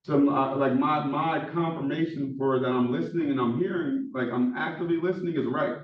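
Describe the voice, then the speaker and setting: A man with a Philadelphia accent lecturing a class as instructor, talking quickly with lots of filler words. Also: a little high, far from the mic. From Philadelphia, USA. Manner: talks fast with many fillers, says he is monotone. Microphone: far room microphone. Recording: echoey classroom recorded from afar, dull sound.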